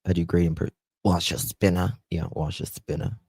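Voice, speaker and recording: A man with a Southern US accent hosting a live stream, chatting slowly with viewers and reading their comments aloud. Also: deep voice, steady tone, vocal fry, darkish tone, breathy edge, no echo, clean studio sound. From United States. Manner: slow, chatty, drawling, talks to viewers by name. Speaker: livestream host.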